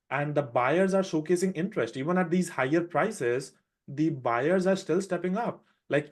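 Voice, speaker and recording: A man with an Indian accent, raised in India, walking through his trades on a live trading show with quick, animated explanations. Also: a little high. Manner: fast, animated explaining with restarts. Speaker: day trader presenting a trade recap.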